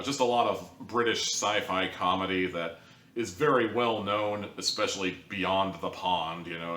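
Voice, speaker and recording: Male reviewer, low register, casual rambling delivery, roomy sound picked up at a distance. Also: fairly bright tone. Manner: rambling, hedging, conversational. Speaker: audio drama reviewer. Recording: roomy echo, distant from the mic.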